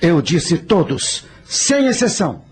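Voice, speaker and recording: An actor playing an anguished ancient general who commands his troops gravely and emphatically in a radio drama. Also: on the high side, sing-song, slow pace. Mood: stern insistence.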